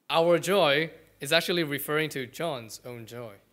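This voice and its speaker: A male pastor with a Malaysian accent delivers a sermon in short, earnest phrases, pausing after each one. His voice sits a little high and sounds bright and forward. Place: church.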